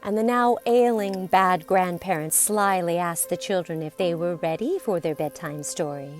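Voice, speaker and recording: A woman narrating a scripted story in a deliberate, theatrical way, with dramatic pauses and emphasis. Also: fairly low pitch, soft background music. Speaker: podcast storyteller.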